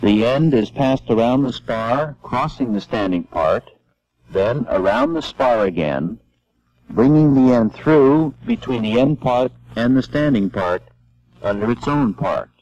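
A man giving measured, step-by-step scripted narration for an instructional film. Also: medium pitch, expressive, a little mellow. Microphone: voice-over. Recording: dull lo-fi old film soundtrack.

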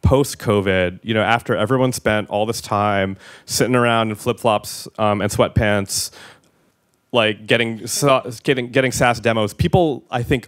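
A man in his thirties speaking as a guest at a tech meetup fireside chat, conversational with filler words and restarts, on a handheld mic in an event room. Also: on the low side. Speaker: product executive and guest speaker.

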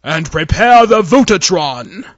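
A man's voice: high-pitched, lively intonation, slow pace, a bit bright, muffled recording, soft background music, full character voice. A man voicing a furious alien fleet admiral in a theatrical science fiction audio story, growling and bombastic. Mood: furious, commanding.

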